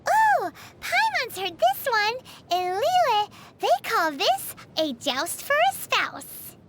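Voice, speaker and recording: A woman voicing a tiny, cheeky, excitable fairy companion in a video game, with a squeaky childlike voice and a cutesy manner. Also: takes it slowly, background noise, exaggerated voice. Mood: excited. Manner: cutesy, refers to self in third person. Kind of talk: video game character voice lines.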